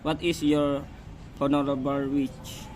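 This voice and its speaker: A man playing a deferential court secretary in an amateur radio drama, with a halting, broken-up delivery. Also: flat delivery, darkish tone. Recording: noisy recording with background rumble.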